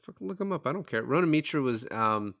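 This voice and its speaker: A man's voice: mid-range voice, expressive, fast talker, gravelly, a bit bright, dry recording, clean studio sound. A man co-hosting a casual film chat, blunt and joking, with some swearing. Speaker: podcast co-host.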